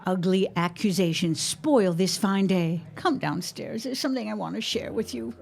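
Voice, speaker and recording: An actress playing a doting, gently wry middle-aged 1910s mother in an audio drama, coaxing as she talks. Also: mid-range voice, normal speed, creaky, lightly acted. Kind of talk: period audio drama acting.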